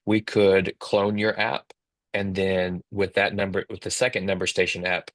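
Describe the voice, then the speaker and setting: A man's voice: deep voice, little pitch movement, normal speed, no room sound. A man in his thirties co-hosting a casual instructional chat on a video call. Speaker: co-host, app company team member. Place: indoors on a video call, virtual background.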